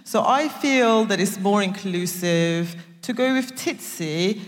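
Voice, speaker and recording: A woman's voice: mid-range voice, expressive, takes it slowly. A young adult woman from Turkey and Nigeria, a guest on a live stage talk, speaking earnestly and playfully with slightly phrasing. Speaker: guest designer. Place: concert hall stage. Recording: long reverb of a live concert hall.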